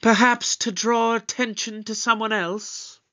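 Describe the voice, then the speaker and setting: A man playing a shrewd, authoritative detective in an audio drama, with a pompous, deliberate, probing delivery. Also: high-pitched, steady tone, sharp tone. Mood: suspicious, brisk. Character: shrewd, authoritative male time-travelling detective, pompous and suspicious.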